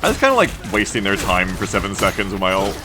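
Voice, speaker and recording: A man's voice: fairly low pitch, wildly swooping pitch, quick pace. A man from Sydney with a mixed Australian accent, giving casual live commentary as he streams a game. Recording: game music behind the voice. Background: video game music and effects.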